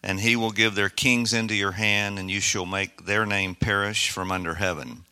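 Dominican-accented guy reading bible verses out loud, steady and measured, super bright tone. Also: fairly low pitch, clean tone, no echo. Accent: Dominican Spanish. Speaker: class member reading scripture. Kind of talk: reading scripture aloud.

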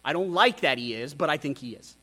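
A man with a notably high voice delivering a sermon. He talks with candor and a self-deprecating streak, and he keeps asking his listeners rhetorical questions. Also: lively intonation, fairly quick, bright, forceful tone. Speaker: pastor.

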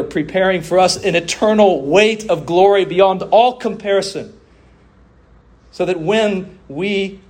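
Guy preaching a sermon, slightly high voice, big emphatic pauses, stretches out phrases and repeats them. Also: darkish tone. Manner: emphatic oratory with deliberate pauses and drawn-out phrases; rhetorical questions and repeated refrains.

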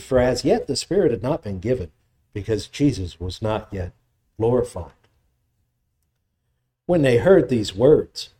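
Mellow-voiced man preaching a sermon. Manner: measured expository preaching, voice drops low in prayer. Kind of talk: sermon with scripture reading and prayer.

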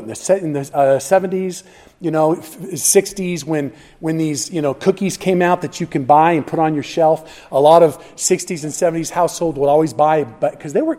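Middle-aged man, pastor, lively sermon delivery, acts out quotes, slightly high voice. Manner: animated preaching, acts out quotes, many restarts.

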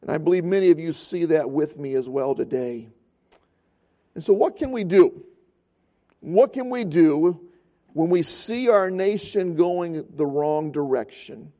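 A man's voice: fairly high pitch, measured pace, dark tone. A man preaching a sermon as pastor, telling a story and then building earnest, repeated points.

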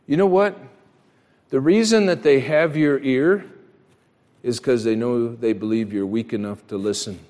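A male pastor delivers a sermon with long hall reverb around his voice. His pitch is middling, and he speaks emphatically and directly, pressing his listeners with rhetorical questions. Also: measured pace, a little mellow. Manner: acts out imagined dialogue. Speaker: pastor preaching. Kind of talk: church sermon.

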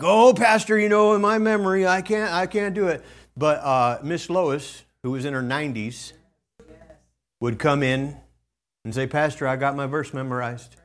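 A man preaching a sermon to his church as pastor, folksy and storytelling, his voice a little gravelly. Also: on the high side. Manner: folksy storytelling, loud bursts when quoting his own preaching. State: slightly gravelly voice.

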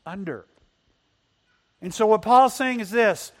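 A man preaching a Sunday sermon whose first language is Spanish, reading scripture and asking the congregation questions. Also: sits high. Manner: asks the congregation questions and slows for emphasis. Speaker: pastor.

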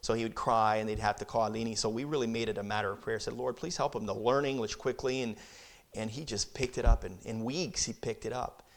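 A man's voice: on the low side, fairly even intonation, fairly quick. A man in his thirties who grew up in Brazil, giving an earnest, conversational missionary talk to a church, often repeating words as he goes. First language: Portuguese. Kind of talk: church presentation, Q&A.

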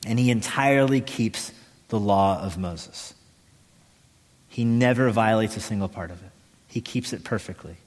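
A man preaching an expository sermon as a pastor, emphatic and deliberate, repeating key phrases with pauses. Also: on the low side. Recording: echoey hall with long reverb.

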